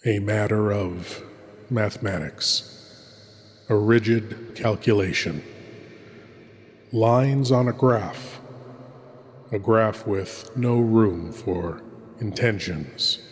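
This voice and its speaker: Slow, deep ominous horror host in reverb. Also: male voice. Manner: ominous, dramatic, drawn-out phrasing. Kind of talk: theatrical scripted horror hosting. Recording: long reverb, faint music behind.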